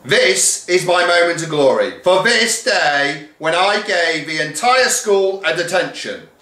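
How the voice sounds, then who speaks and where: A man's voice: high voice, big pitch swings, moderate pace, a bit bright, voice pushed up. A man voicing a bossy, furious older woman deputy head teacher in a storybook reading, shrieking and bellowing her orders. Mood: gloating. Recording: roomy echo.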